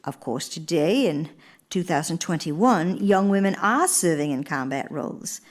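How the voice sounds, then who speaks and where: An earnest older first lady in a radio play, lowish voice, really swooping and formal. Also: lively intonation, measured pace. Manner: formal, emphatic, theatrical delivery. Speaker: stage radio actress. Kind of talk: radio theater monologue and dialogue.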